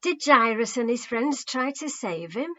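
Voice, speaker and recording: A woman's voice: a little high, lively intonation, a little slow, bright, forceful tone, voice pushed up. Muffled, insistent village man read by a woman. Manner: questioning, insistent.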